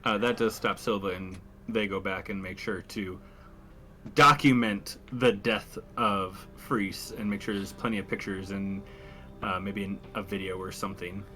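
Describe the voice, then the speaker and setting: A man's voice: on the low side, fairly even intonation, unhurried, bright, forceful tone, soft background music. A man playing a tabletop roleplaying game, casually explaining what his character is doing.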